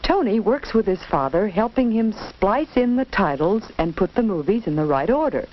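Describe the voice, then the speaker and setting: A woman tells a simple instructive story for children in the manner of a 1940s educational film. Her pitch moves in a very animated way. The old soundtrack is dull, with faint noise behind her. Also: moderate pace. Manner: simple, instructive, addresses the listener directly. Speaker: narrator.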